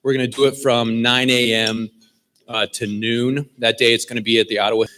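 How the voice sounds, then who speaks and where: A man's voice: mid-range voice, flat delivery, fairly quick, fairly bright tone. A man casually announcing a volunteer food-packing event to a club meeting. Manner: casual, joking. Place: meeting hall.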